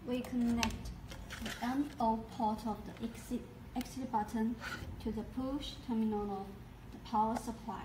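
Female tutorial narrator, fairly high voice, deliberate step-by-step read, very roomy sound. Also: fairly even intonation. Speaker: product demonstrator. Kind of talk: scripted instructional narration. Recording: echoey, distant mic, noisy background.

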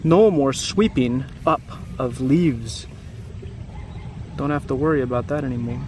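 A man's voice: mid-range voice, unhurried. A man in his thirties reading a picture book aloud for children outdoors, with simple phrasing, chatty asides and questions to young listeners. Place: outdoors in a grassy, wooded yard. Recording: outdoor camera audio with background noise.

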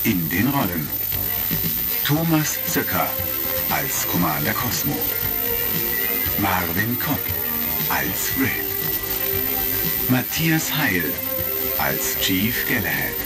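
A man's voice: sits low, sing-song, slow pace, long pauses. A man delivering scripted, dramatic narration for a retro audio drama. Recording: vintage record sound, loud music behind. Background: loud music.

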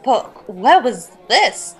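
A woman's voice: on the high side, very animated, drawn-out words, breathy edge, a faint crowd, full character voice. A woman playing a grieving, brooding young royal in formal Shakespearean speech for a role-play podcast. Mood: intent. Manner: formal archaic theatrical speech.

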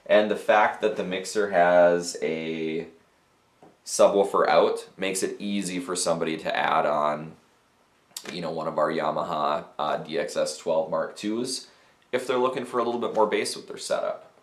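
A man in his thirties with a low, deep voice talks through a piece of gear in a relaxed, technical way, with plenty of fillers and restarts. He sits at a desk in a small office, and the room gives his voice a noticeable echo. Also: moderate pace. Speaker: audio gear reviewer. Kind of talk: casual gear review to camera. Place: small cluttered office with speakers and mixers.

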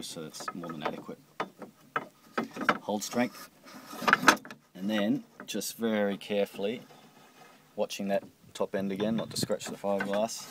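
A man's voice: low voice, very noisy. A middle-aged man talking casually through a boat door repair, hesitant with many ums and restarts, recorded on a handheld phone. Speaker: boat owner showing a repair. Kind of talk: casual how-to walkthrough. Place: on a boat cockpit, indoors at the door track. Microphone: handheld phone camera.

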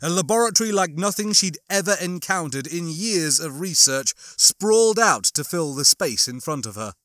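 A man's voice: on the high side, animated, moderate pace, fairly bright tone, no echo, studio quality. A man narrating and hosting an audiobook, reading in a storytelling style. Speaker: audiobook narrator and channel host. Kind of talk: scripted dramatic narration with casual asides.

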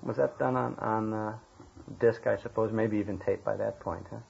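Husky man interviewing, lo-fi recording. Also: on the low side, steady tone, moderate pace, darkish tone. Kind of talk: casual interview.

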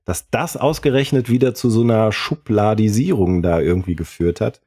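A man's voice: lowish voice, moderate pace, dark tone, breathy edge. A man co-hosting a podcast, chatting casually and often correcting himself as he explains. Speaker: podcast co-host.

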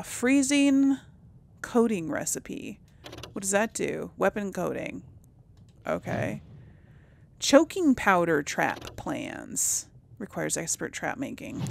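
Slow, relaxed woman playing a game. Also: fairly low pitch, gravelly. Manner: relaxed, laughs often, thinks aloud. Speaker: let's play host. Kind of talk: casual game commentary. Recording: voice over game audio with faint music.

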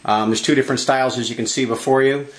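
A man giving an informal, unscripted product demonstration in a halting, plain-spoken way. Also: mid-range voice, steady tone. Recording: echoey room.

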